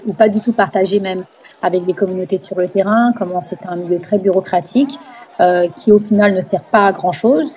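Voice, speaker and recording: A woman's voice: on the low side, darkish tone, slight background noise. A woman from the Paris suburbs, giving earnest, emphatic interview testimony as an activist. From France, Paris suburbs. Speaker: NGO founder, activist, interview guest.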